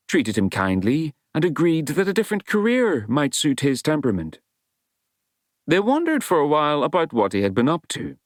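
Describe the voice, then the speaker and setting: A man reading a novel as an audiobook narrator, in a wry storytelling style with measured, dramatic emphasis. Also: mid-range voice, no echo, studio quality.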